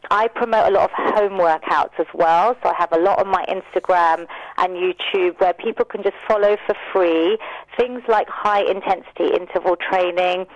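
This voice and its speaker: A woman's voice: fairly low pitch, fairly even intonation, normal speed, dry recording, dull audio. A woman fitness coach speaking as a radio interview guest, explaining and encouraging in an informative way. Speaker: wellness coach and personal trainer, radio guest.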